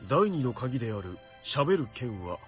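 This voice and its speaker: A man's voice: medium pitch, big pitch swings, a little fast, soft background music, natural voice. A man voicing a stern, earnest young knight in a Japanese visual novel, speaking formally as he mutters to himself. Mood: puzzled, muttering.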